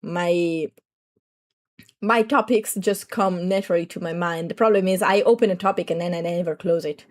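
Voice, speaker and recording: A young adult woman streamer with a slightly raspy throat, chatting to viewers in a rambling, talkative way. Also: lowish voice, moderate pace. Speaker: VTuber streamer. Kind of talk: casual livestream chat.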